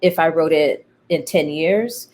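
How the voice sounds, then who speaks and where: A woman playwright speaking as an interview guest, telling her story in a candid, thoughtful, halting way. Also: low voice, a little mellow. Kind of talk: reflective conversational storytelling.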